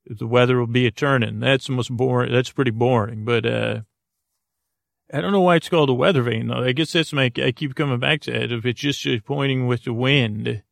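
A man with a creaky voice hosting a bedtime podcast, rambling in a halting, meandering monologue that trails off mid-thought. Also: medium pitch, a bit bright, no echo, studio quality. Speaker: podcast host.

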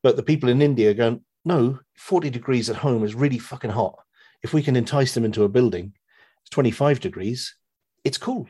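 A man with a husky voice chatting casually, retelling stories in a rambling, animated way with some swearing. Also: mid-range voice, a little fast. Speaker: podcast guest. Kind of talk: casual chat, retelling a story.

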